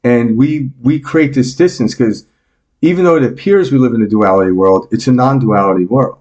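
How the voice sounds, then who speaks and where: A man's voice: mid-range voice, fairly quick, a little mellow, lo-fi sound. A man in his fifties with a New York accent, a guest on a podcast, talking casually, joking and explaining ideas with homely examples. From New York, United States. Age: 50s. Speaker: meditation teacher and podcast guest. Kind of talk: casual interview talk.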